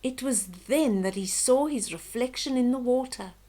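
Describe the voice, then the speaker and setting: An older woman reading a children's bedtime story aloud in a gentle, expressive, dramatic way. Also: a little high. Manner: slow, gentle, dramatic, with hushed whispery moments.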